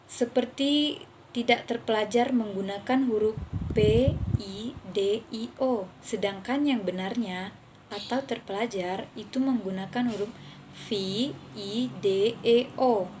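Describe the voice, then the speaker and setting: Young woman, fairly high voice, careful student presenter, noisy home recording. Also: slow. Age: young adult. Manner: careful, spells out letters and example words. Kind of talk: reading a class presentation.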